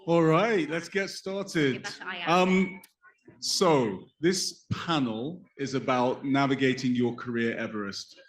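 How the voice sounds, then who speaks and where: A man's voice: mid-range voice, slow. Male panel host, playful, mid voice, echoey hall sound. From Nigeria. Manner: upbeat, jokey, addresses the audience directly. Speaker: panel moderator. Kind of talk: hosting a live career panel.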